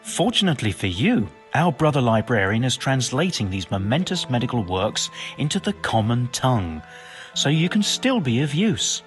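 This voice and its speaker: A man's voice: medium pitch, very animated, moderate pace, faint music behind, close to an everyday voice. Man playing a bookish monk with swooping intonation. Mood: scholarly, brisk. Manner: bookish, wordy and formal. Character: learned monk physician, pompous, well-meaning and absent-minded. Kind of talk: video game cutscene acting.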